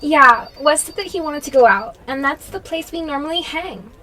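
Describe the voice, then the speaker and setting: Girl playing a young witness being questioned, highish sharp bright voice, radio play. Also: lively intonation, moderate pace, poor audio. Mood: anxious, explaining. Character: young female witness, friend of a missing man. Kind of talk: student radio drama acting.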